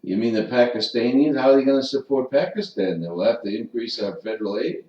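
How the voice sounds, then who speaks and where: A man's voice: medium pitch, expressive, fairly quick, a little mellow. A man co-hosting a casual radio talk show, telling a rambling story with a joking manner. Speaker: radio co-host. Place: Las Vegas radio studio. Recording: distant roomy lo-fi mic.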